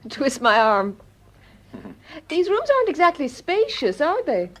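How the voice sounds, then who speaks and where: A woman's voice: high-pitched, wildly swooping pitch, unhurried, exaggerated voice. An actress playing an amused, playfully flirtatious female colleague in a radio detective drama, with a teasing manner. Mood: languid, playful.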